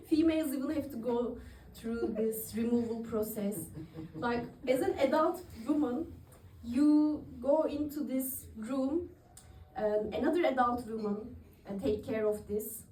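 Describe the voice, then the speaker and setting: High-voiced woman doing stand-up from Turkey. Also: measured pace, mellow, distant mic, slight background noise. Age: 30s. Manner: chatty, laughs at her own jokes. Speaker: stand-up comedian. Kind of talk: open-mic stand-up comedy. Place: comedy club stage, audience in the room. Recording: echoey room recorded from afar.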